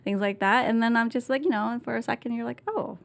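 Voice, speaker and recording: A woman in her thirties, born in Vietnam and raised in Canada, talking candidly as a podcast guest, with lots of fillers, restarts and laughs, on a boom-arm mic in a podcast studio. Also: fast talker, creaky. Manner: chatty, laughs often, many fillers and restarts. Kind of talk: candid conversational interview. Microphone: desk mic on boom arm.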